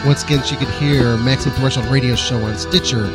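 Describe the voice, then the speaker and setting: A man in mid-range voice reads a scripted promo spot in an even, smooth manner. Loud guitar music plays underneath him throughout. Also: medium pitch, quick pace, rough recording. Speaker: announcer. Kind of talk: scripted ad read.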